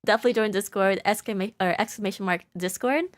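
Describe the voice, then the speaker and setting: A woman's voice: mid-range voice, fast talker, dry recording, studio quality. A woman co-hosting a livestream, reading viewer questions and announcements in an upbeat way. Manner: upbeat, laughs often, reads chat questions. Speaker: stream co-host.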